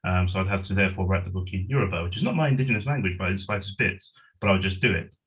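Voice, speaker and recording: A man's voice: low-pitched, racing, a bit bright. A middle-aged man from Nigeria, a writer on a discussion panel, talking in a rambling, self-correcting way over a video call. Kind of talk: discussion, webinar. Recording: dull lo-fi call audio.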